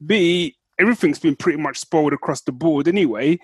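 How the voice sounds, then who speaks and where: A man's voice: a little high, fairly quick, slightly rough, no echo, studio quality. A man co-hosting a podcast in casual, geeky banter. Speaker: podcast co-host.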